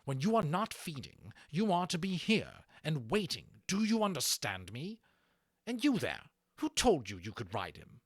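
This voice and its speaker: Cold, bullying officer, acted by a male narrator; curt, imperious, pitched up, scolding. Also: wildly swooping pitch, a little slow, husky, no room sound, studio quality, exaggerated voice. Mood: angry scolding. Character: cold, haughty, bullying male military dragon captain.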